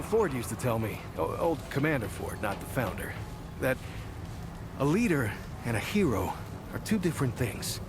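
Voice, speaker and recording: Flustered, stammering town deputy. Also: male voice, medium pitch, noisy background. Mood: rueful. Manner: hesitant, stammering, rambling. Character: flustered, earnest male town deputy, anxious and kindly. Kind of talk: naturalistic video game acting. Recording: loud background music.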